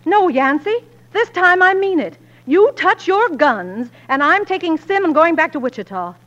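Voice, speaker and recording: An actress playing a strong-willed, long-suffering frontier wife and editor in 1940s radio drama style, emotional and emphatic. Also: female voice, very high-pitched, big pitch swings, moderate pace, a bit bright, dull audio, full character voice. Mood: angry, defiant. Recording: old 1940s radio recording.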